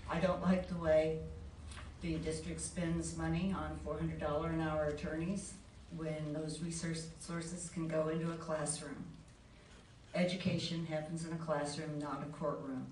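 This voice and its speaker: A woman makes a plain-spoken candidate's speech with very little pitch movement. She is distant from the mic in an echoing board room. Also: level tone, unhurried, darkish tone. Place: public forum in a board room. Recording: echoey boardroom from far off, faint noise.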